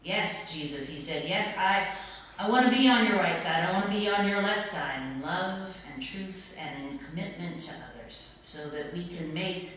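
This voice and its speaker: A woman pastor giving a sermon in a church, phrasing it in a measured way with deliberate repetition. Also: on the low side, animated, a bit bright. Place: church sanctuary. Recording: echoey church, distant mic, faint noise.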